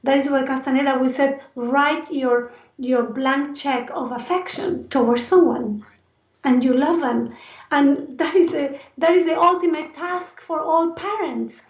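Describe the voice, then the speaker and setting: A woman from Argentina with an Argentine Spanish accent and a raspy voice, speaking earnestly and reflectively as an interview guest. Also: sits high, measured pace. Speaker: interview guest, life and movement coach. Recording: roomy echo, distant mic, dull sound.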